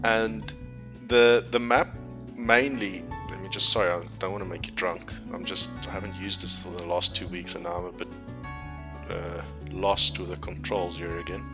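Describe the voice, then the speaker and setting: Man, South African accent, native Afrikaans speaker, lowish voice, casual and halting, background music. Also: fairly even intonation. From South Africa, Cape Town. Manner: hesitant, many ums and restarts, easygoing. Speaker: game map modder. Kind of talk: casual screen-share walkthrough.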